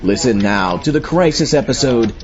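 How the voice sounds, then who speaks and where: Male show host, mid-pitched, delivering scripted intros over crowd noise, slightly muffled recording. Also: lively intonation, normal speed. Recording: dull lo-fi, clear crowd sound.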